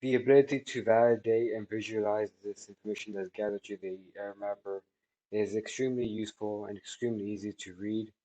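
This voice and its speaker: A man in his thirties giving a spoken product testimonial in a halting, measured way, with drawn-out words. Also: fairly low pitch, steady tone, mellow, low-quality recording. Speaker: technology product reviewer. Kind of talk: spoken testimonial answering questions. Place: small indoor office room by a large window.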